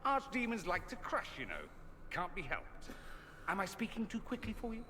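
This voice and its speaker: An actor voicing a witty, self-assured fantasy mage in a video game, with a theatrical, sardonic manner. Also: male voice, high-pitched, sing-song, normal speed, sharp tone, long reverb tail, slight background noise, heavily acted. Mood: wry, playful. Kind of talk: video game character acting.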